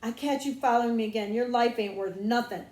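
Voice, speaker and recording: A woman's voice: fairly high pitch, fairly quick, darkish tone, echoey room, natural voice. A woman voicing an impatient, bossy country boy in an audiobook, with folksy, ungrammatical, blustering talk. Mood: threatening. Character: exasperated, impatient country schoolboy, bossy big brother. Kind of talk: audiobook character voice.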